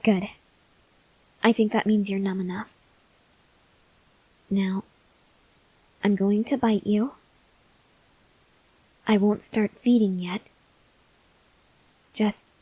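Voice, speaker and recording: A woman playing a gentle, awkward, hungry female vampire in an ASMR roleplay, speaking softly and hesitantly with breathy near-whispers and tender reassurance. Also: mid-range voice, no echo, rough recording. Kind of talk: ASMR roleplay, intimate address to the listener.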